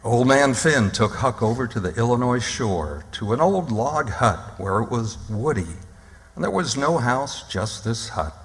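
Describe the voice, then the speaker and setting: A man acting as the narrator of a radio play, telling the story in a measured, plain, scripted way. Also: mid-range voice, lively intonation. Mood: grave storytelling. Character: storybook narrator of a river tale. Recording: long hall reverb.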